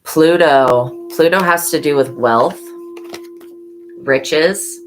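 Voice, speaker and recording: This is a woman with a strikingly deep voice and a hoarse edge. She hosts a live-stream tarot reading, offering earnest, motherly reminders and turning preachy when she speaks of faith. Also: slow pace, poor audio. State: hoarse from yelling. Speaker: tarot reader.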